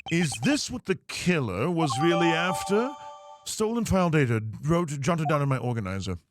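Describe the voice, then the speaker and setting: A composed video game prosecutor, played by a man, haughty and exact. His slightly high male voice moves expressively through formal, deliberate, analytical lines, over clearly audible music. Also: big pitch swings. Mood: pensive.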